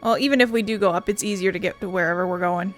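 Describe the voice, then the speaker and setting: A woman's voice: mid-range voice, racing, faint music behind. A woman giving casual, chatty commentary as she plays a game, thinking aloud and swearing now and then. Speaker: gaming video host.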